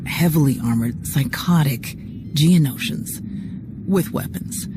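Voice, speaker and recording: A woman's voice: deep voice, measured pace, noisy background. A woman playing a tough, cocky female security chief in a game cutscene, blunt and wry in manner. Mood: wry, matter-of-fact. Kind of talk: video game cutscene acting. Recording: music under the voice.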